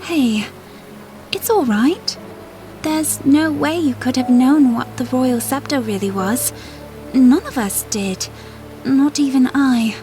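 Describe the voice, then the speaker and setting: An actress playing a gentle, earnest young princess in an audio drama. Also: female voice, high-pitched, takes it slowly, faint music behind. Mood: gentle reassurance. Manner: gentle, intent.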